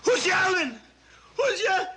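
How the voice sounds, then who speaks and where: An actor playing a terrified young airman reliving a plane crash, sobbing, shouting and pleading. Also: very high voice, lively intonation, unhurried, a little hiss or hum, full character voice. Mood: panicked.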